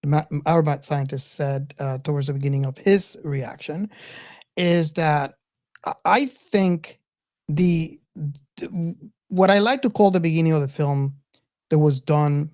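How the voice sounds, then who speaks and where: A woman hosting a reflective film discussion, speaking haltingly with repeated words and emphatic stretches. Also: low voice, mellow. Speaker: podcast host.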